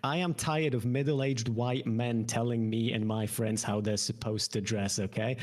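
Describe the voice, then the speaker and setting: A man's voice: mid-range voice, fairly even intonation, moderate pace, a little mellow. A man on an online panel, teasing and mock-affectionate, with a lot of profanity. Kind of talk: rowdy online panel banter.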